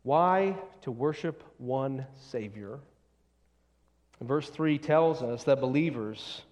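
A man preaching an expository sermon, speaking emphatically and deliberately and repeating short phrases for weight. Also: mid-range voice, darkish tone. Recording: long echoing hall reverb.

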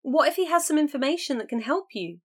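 A woman's voice: sits high, expressive, quick pace, voice pushed up. A woman reading an audiobook, voicing an eager young woman who urgently presses her sister to take a chance. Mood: eager pleading. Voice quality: bright, urgent and persuasive. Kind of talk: audiobook character voice.

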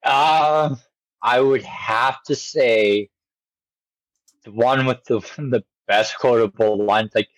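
A man co-hosts a casual podcast chat. His medium-pitched voice is recorded dry, without room echo. He rambles a little, circling back as he builds each thought. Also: slow. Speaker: podcast co-host. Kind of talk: casual chat about an anime parody.

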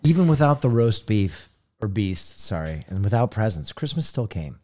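A man's voice: lowish voice, very animated, moderate pace, dark tone. A man co-hosting a podcast, asking playful, teasing questions in casual chat. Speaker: podcast host.

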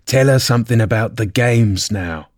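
A man's voice: mid-range voice, moderate pace, natural voice. A man voicing a boisterous mythical beast in a children's storybook, blunt, bossy and decided in manner. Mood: brusque, decided. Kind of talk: children's storybook character reading.

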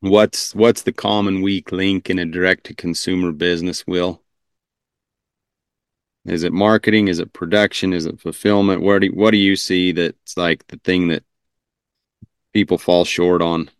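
A male podcast host with a very deep, even, flat delivery and a smooth, resonant voice. He sounds earnest and encouraging on a dry video call. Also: moderate pace, dry recording. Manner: earnest, affirming, frequent backchannels. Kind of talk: interview hosting.

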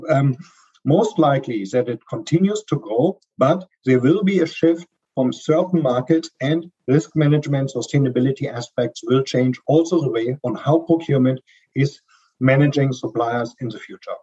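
German-accented man, hesitant and mellow. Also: medium pitch, moderate pace, husky, highs cut off. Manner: hesitant, many ums and restarts. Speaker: supply chain consultant guest. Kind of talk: podcast interview answers.

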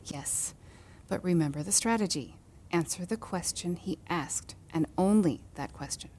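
A woman playing a sharp, protective counsel at an inquiry in an audio drama, firm and formal. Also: lowish voice, unhurried, slight background noise, natural voice. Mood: hushed, advising. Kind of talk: fiction podcast audio drama. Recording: echoey hall with long reverb.

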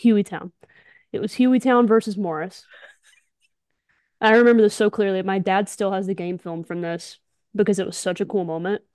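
A woman's voice: medium pitch, steady tone, moderate pace, long pauses, darkish tone, clear, resonant tone, no room sound, studio quality. A young woman co-hosts a casual podcast, talking in an enthusiastic, emphatic, slangy way. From Alabama, United States. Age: young adult. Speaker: podcast co-host, softball player.

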